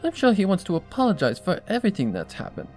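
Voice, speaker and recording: Storybook lady pony dressmaker, played by a woman: high-pitched, very animated, refined, gushing and theatrical. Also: quick pace, soft background music. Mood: gushing reassurance. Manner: theatrical, genteel, affectionate.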